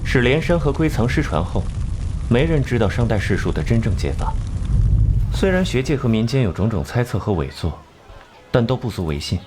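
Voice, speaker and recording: An actor playing an aloof young genius detective who explains things in a measured, condescending way in a Chinese animated drama. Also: male voice, mid-range voice, noisy background, natural voice. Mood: grave, knowing. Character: cool, aloof male genius detective and occult scholar. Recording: music behind the voice.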